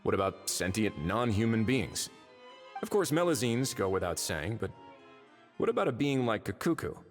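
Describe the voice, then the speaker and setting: A man voicing a wry, laid-back but shrewd official in a video game, with a dry, unhurried, authoritative manner. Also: fairly low pitch, very animated, soft background music. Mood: wry, musing. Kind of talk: English video game voice acting.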